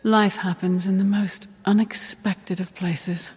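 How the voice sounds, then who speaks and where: A woman's voice: mid-range voice, flat delivery, takes it slowly, darkish tone, slightly rough. An actress playing a curious explorer in a video game, musing aloud as she observes, in a long echo. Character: curious, musing female explorer of ancient ruins. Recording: long echoing hall reverb.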